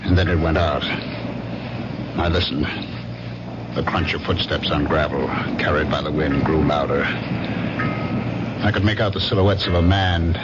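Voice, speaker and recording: An actor playing a brooding, jealous husband who narrates his own story in a 1970s radio drama, dramatically and with deliberate pauses. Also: a bass voice, very noisy. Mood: brooding, tense. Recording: muffled old radio broadcast with music.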